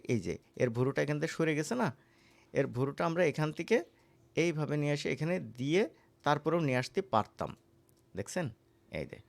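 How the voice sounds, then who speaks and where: A man with a Bangladeshi accent giving a casual, conversational software tutorial as an instructor, often checking in with the listener. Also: a little high, gravelly. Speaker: animation course instructor.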